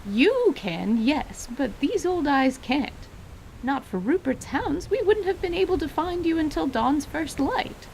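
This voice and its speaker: A woman's voice: high voice, sing-song, a little slow, creaky, a little mellow, slight background noise, exaggerated voice, rough recording. A woman playing an elderly, teasing, fondly scolding grandmother in radio theater, with quaint, folksy, theatrical phrasing. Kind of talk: dramatized radio theater acting.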